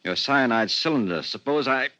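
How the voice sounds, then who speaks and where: An actor playing a tense, suspicious husband in a 1950s radio drama, speaking in a clipped, commanding way. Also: male voice, mid-range voice, lively intonation, normal speed, bright, forceful tone. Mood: menacing. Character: tense, suspicious husband with hard, menacing authority. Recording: dull, muffled 1950s radio recording.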